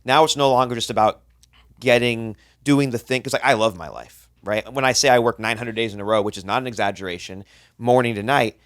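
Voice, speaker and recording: A man's voice: medium pitch, a little fast, fairly bright tone, dry recording. Blunt, profane man in his thirties, dry sound. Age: 30s. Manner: blunt, profane, rambling with restarts. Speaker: podcast co-host, chef. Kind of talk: casual podcast chat.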